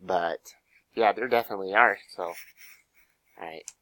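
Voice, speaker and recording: A hoarse young man with a cold, giving a casual class presentation, full of fillers and pauses. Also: deep voice, bright, forceful tone, dry recording. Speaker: student presenter.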